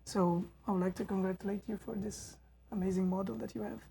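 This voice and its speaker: A woman's voice: mid-range voice, level tone, fairly quick, creaky, dark tone, slight background noise. A woman from Mozambique, a guest in a studio interview, speaking hesitantly with many fillers.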